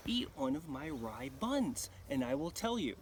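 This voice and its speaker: A man voicing bargaining magical objects of a folktale in a plain, measured manner. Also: on the high side, big pitch swings. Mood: matter-of-fact. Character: talking oven, apple tree and river, bargaining. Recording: noisy live recording.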